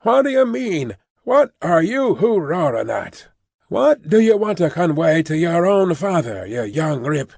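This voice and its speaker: A man voicing a gruff, ill-tempered middle-aged working-class father in a storybook, scolding in blunt, ungrammatical speech. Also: on the high side, big pitch swings, a little slow, a little mellow, no room sound, clean studio sound, heavily acted. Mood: irritated scolding.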